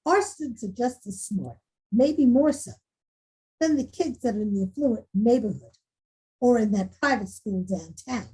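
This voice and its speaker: A woman's voice: fairly high pitch, expressive, unhurried, warm tone, slightly rough, full character voice, rough recording. A woman playing an earnest, determined school principal making a rousing speech in a screenplay table read. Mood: probing, earnest. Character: earnest, determined female school principal rallying staff and students.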